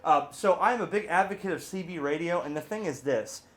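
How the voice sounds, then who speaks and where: Higher-voiced man, chatty gadget reviewer. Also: a little fast. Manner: chatty, informal, animated rambling with emphatic asides. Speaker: video host, radio hobbyist reviewer. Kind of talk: casual off-the-cuff product review.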